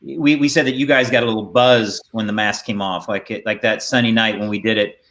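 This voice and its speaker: A middle-aged man hosting a casual theater chat over a video call, talking in halting, trailing phrases that he keeps reworking. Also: on the low side, fairly even intonation, fairly bright tone. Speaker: theater host introducing cast. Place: indoors at home or studio, on a video call.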